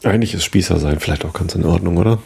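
A man in his thirties hosting a podcast, rambling softly and questioning himself before reading aloud to help listeners fall asleep. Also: deep voice, racing, gravelly, husky. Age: 30s. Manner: rambling, self-questioning, soft-spoken. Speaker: podcast host. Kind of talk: casual chat then sleepy reading aloud.

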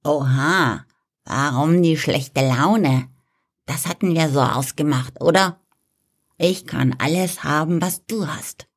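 A man's voice: on the high side, voice pushed up. A man doing a cheeky, drawling female frog. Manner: drawling, teasing, unhurried. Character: cheeky sarcastic female frog, calm and dry. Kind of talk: storybook reading.

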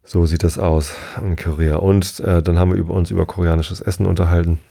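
Deep gravelly man chatting casually. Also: little pitch movement, quick pace, mellow. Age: 40s. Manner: chatty, rambling, many fillers and self-corrections. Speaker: podcast host. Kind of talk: casual solo podcast monologue.

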